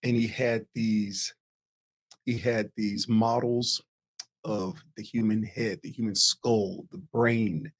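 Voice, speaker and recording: A man's voice: fairly low pitch, steady tone, takes it slowly. A man telling stories from his childhood as a podcast guest, laughing often and poking fun at himself. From US East Coast (New Jersey, Virginia). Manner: laughs often, self-deprecating storytelling. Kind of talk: casual interview storytelling.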